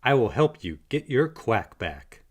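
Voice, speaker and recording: A man voicing an eager, well-meaning young cartoon cat in an animated, exclamatory way for a storybook. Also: mid-range voice, unhurried, slightly rough. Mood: helpful.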